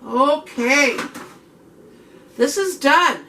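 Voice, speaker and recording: A middle-aged woman chatting through a home canning tutorial in a homey way, her voice worn and clearing her throat as she is losing it. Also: high voice, lively intonation, very slow, bright, forceful tone, a little hiss or hum. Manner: homey, chatty instructions to viewers. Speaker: home cook host.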